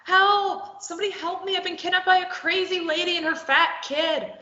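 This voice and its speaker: A woman reading the part of a defiant, sharp-tongued young woman held captive, snapping back and pitching up when pressed. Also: steady tone, normal speed. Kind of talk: amateur table read. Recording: roomy, muffled lo-fi recording.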